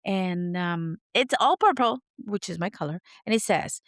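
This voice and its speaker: A raspy, hoarse woman with a sore throat hosting her podcast in an unscripted, rambling chat, laughing at herself. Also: on the low side, lively intonation, measured pace, no echo, studio quality. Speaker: podcast host.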